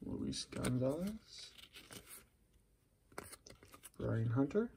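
A middle-aged man with a husky, gravelly voice, chatting casually and musing aloud as he hosts a card-opening video. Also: medium pitch, big pitch swings, very slow, mellow, slight background noise. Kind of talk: casual unscripted commentary while handling cards. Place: indoors at a wooden table.

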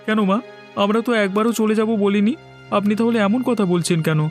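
A man's voice: high-pitched, fairly even intonation, fast, soft background music, lightly acted. A man voicing a writer son-in-law in a cartoon who speaks earnestly and admires nature. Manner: earnest, wistful. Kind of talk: cartoon voice acting.

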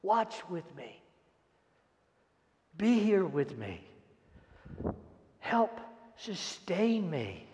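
An older man preaches a sermon and reads scripture with dramatic, emphatic delivery and deliberate pauses. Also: high voice, very animated, a little mellow, slight background noise. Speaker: pastor. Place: large church auditorium stage, indoors. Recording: echoey hall, long reverb.

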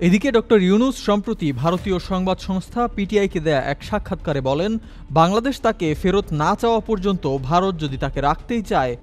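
A man with a Bangladeshi accent narrating a scripted news report in a formal, measured manner. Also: high voice. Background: background music.

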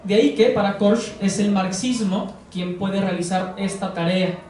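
A man's voice: sits high, steady tone, darkish tone, recorded from a distance, a little hiss or hum. Young man lecturing in an echoey room. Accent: Mexican Spanish. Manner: measured, explanatory, frequent hedging fillers. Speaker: course lecturer. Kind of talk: academic lecture on philosophy. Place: living room with sofas, indoors. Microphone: far camera.

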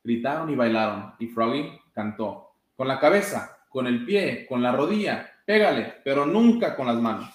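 A man's voice: mid-range voice, lively intonation, normal speed. Man reading a storybook in an echoey room. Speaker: soccer goalkeeper reading a children's story. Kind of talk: read-aloud storytime. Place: indoor room with blinds, sitting. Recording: roomy echo.

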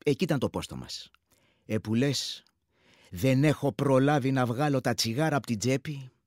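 A man's voice: medium pitch, a little mellow, no echo, studio quality, lightly acted. An actor playing an earthy village war veteran in a radio play, telling his story conversationally with a rural Greek accent and acting out other men's speech. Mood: grim recounting. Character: earthy village war veteran recounting brutal combat matter-of-factly.

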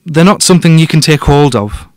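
A man playing a cocky, teasing genius detective in an audio drama, deadpan and matter-of-fact. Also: a little high, a little fast, no room sound, clean studio sound, natural voice. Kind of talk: podcast-style audio drama dialogue.

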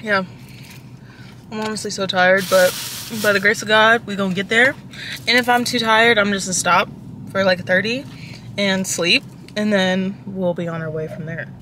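A woman's voice: mid-range voice, moderate pace, pauses often, slight background noise, low-quality recording. A woman in her twenties vlogging casually to a phone camera in her car, chatty and slangy. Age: 20s. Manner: slangy, chatty, animated storytelling. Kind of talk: casual vlog chat to camera. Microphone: phone camera held close.

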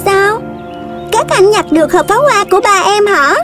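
A woman's voice: very high-pitched, lively intonation, normal speed. A woman voicing an aggrieved little calf child in a children's cartoon, plaintive and childlike. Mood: reproachful. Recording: loud music behind the voice.

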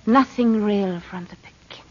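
A woman's voice: medium pitch, moderate pace, natural voice. An actress playing a fragile, frightened wife who doubts her sanity, in a hesitant, pleading 1940s radio drama style. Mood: distressed. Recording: muffled, noisy old radio recording.

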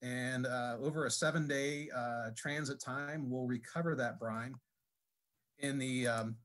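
A man giving a technical presentation at a conference, speaking haltingly with many fillers and restarts. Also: mid-range voice, fairly even intonation, a bit bright. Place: conference session room.

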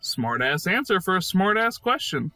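A man's voice: sits high, sing-song, normal speed, loud music behind the voice. A man giving enthusiastic scripted video game commentary in a jokey, emphatic way.

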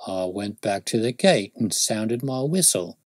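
A defensive, working-class London constable from a detective storybook, acted out by a male reader. He talks colloquially with rough grammar and a touchy, puzzled temper. The sound is dry. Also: lowish voice, animated, unhurried, dry recording. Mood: amused. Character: uneducated, defensive London police constable, mystified and aggrieved.

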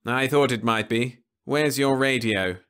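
A man voicing a smug, cold alien male invader in an audiobook, speaking with precise, measured menace. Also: medium pitch, fairly bright tone, clear, resonant tone, pitched above the performer's own voice, close to an everyday voice. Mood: cold triumph. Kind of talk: audiobook character voice.

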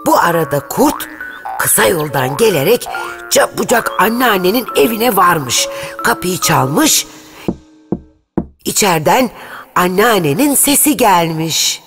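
A woman storyteller reading a scripted children's fairy tale in an expressive, measured way. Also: on the low side, music in the background.

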